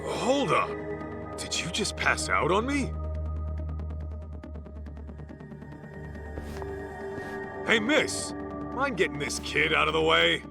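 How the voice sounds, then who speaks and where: An actor with an American accent playing a gruff, laid-back middle-aged ex-yakuza, blunt and slangy, in a game dub. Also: male voice, on the high side, sing-song, unhurried, heavily acted. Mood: commanding. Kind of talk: English video game dub acting. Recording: music behind the voice. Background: game music.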